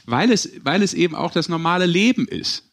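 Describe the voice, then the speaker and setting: A man's voice: a little high, animated, a little fast, fairly bright tone. A man hosting a casual sports podcast, chatting and teasing among colleagues. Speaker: podcast host.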